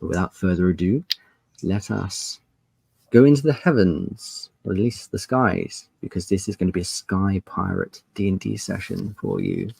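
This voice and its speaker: A man's voice: low-pitched, normal speed, gravelly, a little mellow, breathy edge, dry recording. A man hosting an online panel as game master, introducing it in a casual style.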